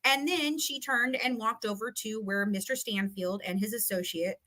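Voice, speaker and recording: A woman's voice: a little high, steady tone, moderate pace, bright, forceful tone, rough recording. A woman telling a story casually and with animation, emphatic and given to swearing, acting out both sides of conversations. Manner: emphatic, swearing, re-enacts dialogue. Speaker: guest recounting a legal dispute.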